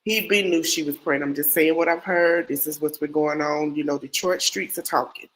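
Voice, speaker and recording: A woman's voice: sits low, steady tone, brisk, fairly bright tone, rough recording. A woman hosting a casual true-crime podcast, nasal and congested from a cold. She rambles, repeats herself and talks straight to her viewers. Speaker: podcast host.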